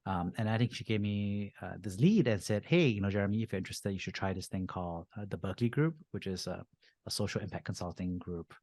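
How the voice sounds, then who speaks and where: Low-voiced man from Singapore, chatty. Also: fast, a little mellow, no echo. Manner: frequent uh and you know fillers, easygoing. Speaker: guest, venture capitalist. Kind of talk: casual interview storytelling.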